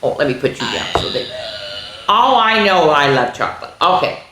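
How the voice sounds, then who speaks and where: Female storytime reader for small children, highly animated and sing-song, addressing kids directly, recorded in a roomy, echoing space. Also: moderate pace. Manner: expressive, exaggerated, sing-song with sound effects; chatty asides to young listeners. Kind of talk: animated read-aloud to young children. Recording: echoey room.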